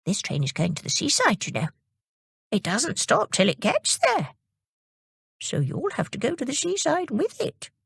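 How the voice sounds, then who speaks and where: A woman voicing a flustered, kindly old lady in a children's story, with a high, quavering, fretful voice. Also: wildly swooping pitch, moderate pace, creaky, a bit bright, husky, no echo, exaggerated voice. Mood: comforting.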